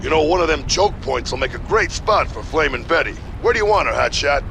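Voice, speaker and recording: A man playing a gruff, wisecracking combat engineer in a video game, with folksy, slangy talk. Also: on the high side, lively intonation, fairly quick, background noise. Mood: easygoing. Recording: loud music behind the voice.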